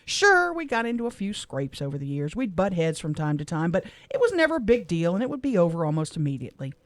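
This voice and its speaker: A woman's voice: lowish voice, sing-song, quick pace, dry recording. Heartfelt middle-aged woman on radio. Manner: heartfelt, soft-spoken, emotional. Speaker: radio co-host. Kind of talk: reading a personal letter on air. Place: radio studio.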